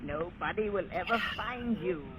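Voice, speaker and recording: Creepy villain, slow threatening delivery, swinging pitch, old radio drama, heavy noise. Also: male voice, lively intonation. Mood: creepy menace. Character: menacing creeper villain, stalking a young woman. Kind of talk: 1930s radio drama acting. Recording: very noisy old radio recording.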